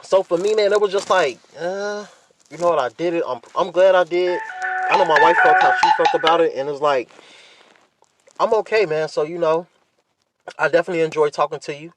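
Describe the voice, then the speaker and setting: A man's voice: on the high side, fairly quick, noisy background. A man hosting a podcast, talking casually and candidly in blunt, profane slang and repeating himself for emphasis. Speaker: podcast host.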